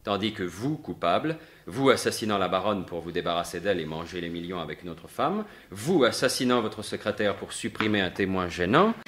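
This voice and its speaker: An actor playing a suave, mocking gentleman thief in a 1950s-style French radio mystery, with a sardonic, assured, theatrical delivery. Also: sits low, expressive, brisk, few pauses.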